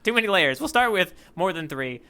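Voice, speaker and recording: A man's voice: high-pitched, lively intonation, fairly quick, bright, forceful tone, clear, resonant tone. A man co-hosting a podcast, recapping a manga chapter in casual chat, rambling with many fillers and easy laughs. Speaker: podcast co-host.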